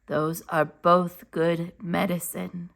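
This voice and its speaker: A woman voicing a calm, wise older storyteller in a storybook, gently and patiently explaining. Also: on the low side, slow pace, vocal fry.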